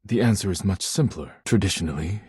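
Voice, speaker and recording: A man voicing a solemn, proud, stern Japanese omakase chef in a video game, speaking in formal, measured phrases. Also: deep voice, lively intonation, a little mellow, husky, dry recording, exaggerated voice. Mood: formal, explanatory. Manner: formal, measured, clipped phrasing without contractions. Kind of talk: scripted video game character dialogue.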